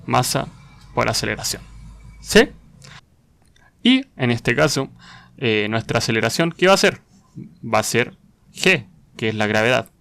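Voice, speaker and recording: A man's voice: medium pitch, gravelly, fairly bright tone. A man giving an informal step-by-step tutorial, often asking questions and answering them himself, with short check-in tags. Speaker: physics tutor presenting a lesson.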